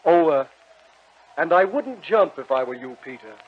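An actor playing a suave devil who trades for souls, with smooth, wheedling sales patter, in old-time radio acting. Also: male voice, fairly high pitch, lively intonation, moderate pace, close to an everyday voice. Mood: sly. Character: suave smiling devil, a soul-trading salesman. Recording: dull old radio broadcast recording, faint hiss.

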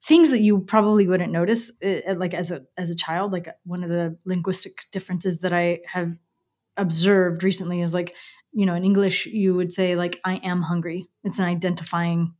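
A woman's voice: on the low side, fairly even intonation, moderate pace, a little mellow. A woman chatting casually as an interview guest, candid and playful, peppering her speech with "like". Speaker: podcast guest, company co-founder. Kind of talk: casual chat.

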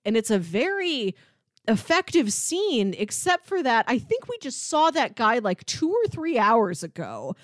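A woman with a somewhat high voice talks casually as a podcast co-host. She tells film stories in an animated, conversational way. The sound is dry, with very little room in it. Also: a little slow, dry recording. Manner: animated storytelling, acts out characters' lines.

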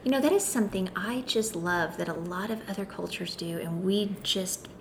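A woman's voice: mid-range voice, moderate pace, a little hiss or hum. A woman from the United States interviewing a guest, reacting with eager, openly impressed remarks.

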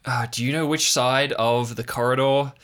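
A man voicing a flustered, earnest park ranger with a halting, nervous, reassuring way of talking. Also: medium pitch, fairly even intonation, a bit bright, voice pushed up, lightly acted. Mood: flustered, anxious.

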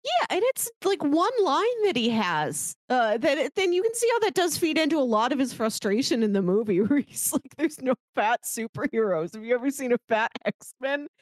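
A woman's voice: high-pitched, very animated, moderate pace, few pauses, no room sound, clean studio sound. A woman co-hosting a podcast, casually discussing films. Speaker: podcast co-host.

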